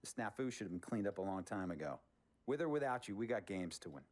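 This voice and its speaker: A man playing a blunt, worldly middle-aged team owner, giving measured, authoritative advice in a game drama. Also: on the low side, lively intonation, close to an everyday voice. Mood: firm. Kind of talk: dramatic cutscene dialogue.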